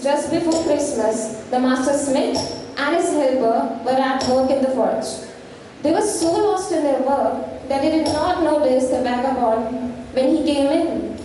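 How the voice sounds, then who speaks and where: A woman's voice: high voice, warm tone. A young woman giving scripted story narration for a stage play. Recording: echoey hall, distant mic, faint noise.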